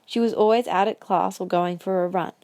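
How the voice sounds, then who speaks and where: A woman narrates a scripted audio drama in story prose. Her voice has a medium pitch and the sound is close and very dry. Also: steady tone, brisk, a little mellow, no room sound.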